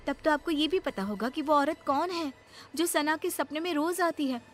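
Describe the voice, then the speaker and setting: Woman putting on a high, worried young mother. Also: moderate pace, music in the background, lightly acted. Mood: insistent. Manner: concerned, questioning, emotional. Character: caring, worried young mother and daughter-in-law. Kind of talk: Hindi cartoon horror story acting.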